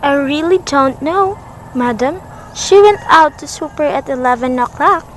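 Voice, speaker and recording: A woman's voice: high-pitched, lively intonation, unhurried, rough recording. A woman playing a polite, formal, unhelpful switchboard clerk in a radio play, courteous and detached. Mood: calm, detached. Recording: amateur recording with faint background noise.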